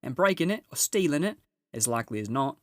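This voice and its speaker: A man's voice: medium pitch, big pitch swings, no room sound, studio quality, pitched above the performer's own voice, natural voice. A man voicing a bustling, unflappable, motherly female beaver in a storybook, with folksy, fussy talk. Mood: fussing. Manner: folksy, coolly unhurried.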